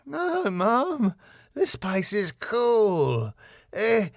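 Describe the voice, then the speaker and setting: A man's voice: sits high, huge pitch swings, drawn-out words, no echo, studio quality, pitched above the performer's own voice, exaggerated voice. A man voicing a drunken, feeble, matey teenage boy with a drawling delivery in a drama reading.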